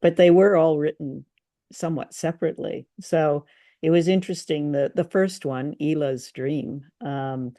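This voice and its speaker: A woman co-hosting a podcast, chatting informally in a halting way. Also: low voice, mellow, no room sound, studio quality. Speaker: podcast co-host. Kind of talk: casual chat, radio drama discussion.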